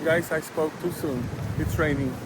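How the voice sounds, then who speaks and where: Un hombre joven de Venezuela, con acento venezolano, charla de forma franca y relajada mientras camina al aire libre y se corrige a menudo. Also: male voice, fairly high pitch, steady tone, normal speed. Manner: chatty and candid, with frequent restarts. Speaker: vlogger. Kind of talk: casual vlog chat. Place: outdoors on a walk. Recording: outdoor noise with passing traffic.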